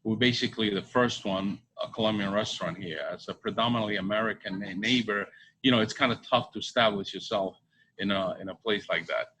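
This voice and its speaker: A middle-aged man from Bolivia answering interview questions conversationally, halting and repeating his phrases. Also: fairly low pitch, fairly even intonation, sharp tone, low-quality recording. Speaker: restaurant co-owner. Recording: faint background ambience noise.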